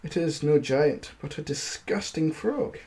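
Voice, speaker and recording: Fairy-tale princess, voiced by a man: young, spoiled, disdainful, with unhurried petulant delivery. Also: a little high, lively intonation, darkish tone. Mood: fretful.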